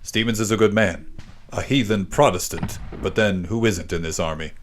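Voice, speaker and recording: A man's voice: lowish voice, moderate pace, faint music behind. A man reading a historical war story aloud as scripted dramatic narration for a literary podcast.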